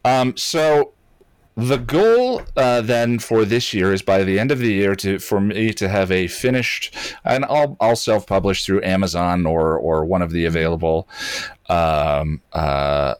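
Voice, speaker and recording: A man's voice: low-pitched, a little slow, clear, resonant tone, dry recording. A man hosting a casual podcast conversation in a self-deprecating, hesitant manner. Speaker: podcast and channel host.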